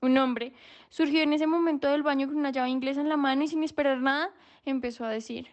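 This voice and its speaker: A woman's voice: high voice, fairly even intonation, fast, a little mellow, dull audio, a little hiss or hum, close to an everyday voice. An actress playing a troubled young woman, confiding a story in a gossipy way for a radio drama. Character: troubled young woman confiding about her vagrant brother. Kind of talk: radio drama narrative monologue.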